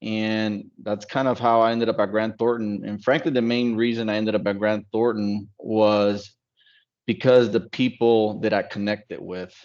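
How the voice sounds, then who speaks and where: Low, flat-voiced man on a muffled call. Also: a little slow. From Dallas, United States. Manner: relaxed, reflective, many fillers. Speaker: accounting firm partner. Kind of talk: casual panel talk on a video call. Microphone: video call. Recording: dull lo-fi call audio.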